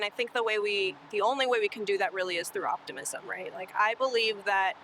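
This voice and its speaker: A woman's voice: medium pitch, bright, forceful tone, slight background noise, rough recording. A young woman from Colombia who works on city sustainability, answering oral history interview questions earnestly and hopefully, with some fillers.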